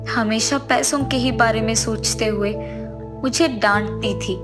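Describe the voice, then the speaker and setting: High-voiced jittery grieving husband played by a woman. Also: steady tone, unhurried, music in the background, lightly acted. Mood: sad, musing. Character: grieving, easily frightened husband, master of the house.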